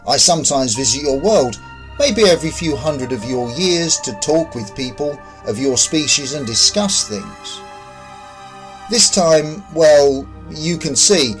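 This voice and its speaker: Man voicing a courteous otherworldly visitor, animated pitch. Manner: measured, explanatory. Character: calm otherworldly visitor of indeterminate sex, puzzled, courteous. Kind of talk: narrated science fiction story.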